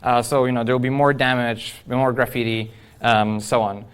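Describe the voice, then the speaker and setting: A man speaks as an engineer presenting at a tech conference. His voice is clean and resonant at an average male pitch. He talks informally and with firm opinions, putting his points straight to the listeners. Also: steady tone, moderate pace, clean tone. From Poland. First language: Polish. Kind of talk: informal technical conference talk.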